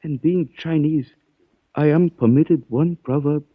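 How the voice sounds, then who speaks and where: A suave, soft-spoken schemer. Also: male voice, fairly high pitch, a little slow, husky, no echo. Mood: calm menace. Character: suave, menacing older Chinese man who speaks in proverbs. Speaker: mystery character in a radio drama. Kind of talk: 1940s radio acting. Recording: dull, dry old radio recording.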